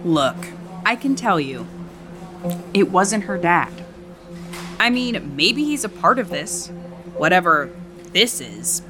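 Audio drama role: nosy, flippant woman, unhurried, hugely animated pitch. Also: music in the background. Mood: frank, measured.

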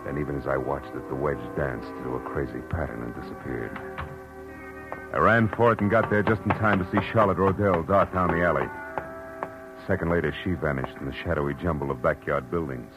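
Bottomless voice, American, world-weary detective, terse, 1940s radio noir, loud music bed. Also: male voice, very deep voice, fairly even intonation, a little fast, poor audio. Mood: grim, tense narration. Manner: terse, hard-boiled, dry. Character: hard-boiled, world-weary male private detective narrating his case. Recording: vintage radio drama with music.

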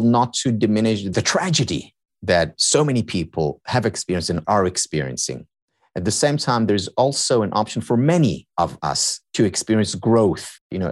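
A man whose first language is Hebrew, a guest on a podcast who explains his ideas in a lecturing way and builds each point through repetition. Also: on the low side. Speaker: podcast guest, happiness researcher and teacher. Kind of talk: explanatory interview talk.

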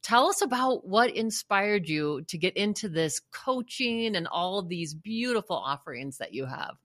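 A woman's voice: mid-range voice, lively intonation, moderate pace, fairly bright tone, no room sound, clean studio sound. A woman hosting a podcast, talking in an upbeat, effusive way as she greets her guest. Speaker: podcast host. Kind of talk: enthusiastic interview chat.

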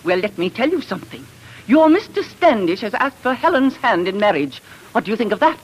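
A woman's voice: a little high, very animated, a little fast, breathy edge. Swooping, scolding mother. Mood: gossipy. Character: bossy, scolding middle-aged mother. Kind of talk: 1940s radio acting. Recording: noisy old 1940s radio broadcast.

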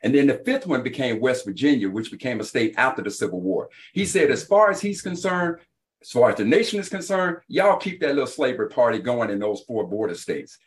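Emphatic man with a very animated pitch. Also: fairly quick. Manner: emphatic, insistent, lecturing. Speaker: panel guest, author and activist. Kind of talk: panel debate.

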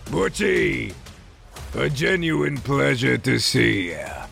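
A man's voice: medium pitch, very animated, slow, gravelly, a bit bright, soft background music, exaggerated voice. A man playing a smug, menacing criminal rival with a drawling, mock-friendly manner in a comedic crime audio drama. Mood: mock-friendly menace.

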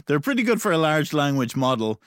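A man's voice: fairly high pitch, very animated, a little fast, fairly bright tone, no echo, studio quality. A man hosting a podcast, interviewing in a casual, playful style. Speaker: podcast host.

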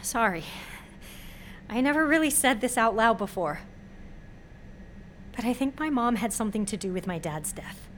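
A woman's voice: on the high side, lively intonation, moderate pace, long pauses, close to an everyday voice. Actress as an anxious young college woman, noisy background. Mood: anxious, confiding. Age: young adult. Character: sheltered, anxious, candid young female college student. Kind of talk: naturalistic audio drama dialogue.

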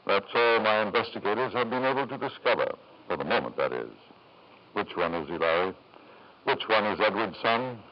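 A man's voice: on the low side, animated, brisk, natural voice. Man acting a proud middle-aged lord, urbane, pressing, widely varied intonation, vintage radio drama, dull sound. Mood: insistent. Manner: urbane, insistent, repeats questions for emphasis. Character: proud, self-justifying titled father, coaxing and insistent.

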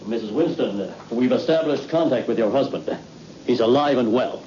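An actor playing a brisk, skeptical general in an old-time radio drama, speaking in a formal, clipped way. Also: male voice, medium pitch, dark tone, lightly acted. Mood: impatient. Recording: dull, noisy old radio recording.

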